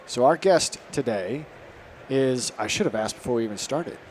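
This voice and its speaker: A man hosts a farm podcast with an upbeat, easygoing manner. His pitch is ordinary for a man, and faint background noise sits behind him. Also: expressive, moderate pace. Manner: upbeat, conversational. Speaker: podcast host. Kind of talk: casual podcast interview.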